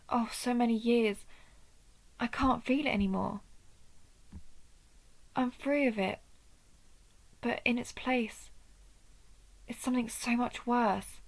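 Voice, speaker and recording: Woman acting as female vampire, audio drama, slow halting delivery, slightly high pitch, intimate, tormented, darkly brooding. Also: creaky, heavily acted, poor audio. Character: guilt-ridden female vampire hunter, wounded, turning dark. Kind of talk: dramatic audio roleplay spoken to one listener.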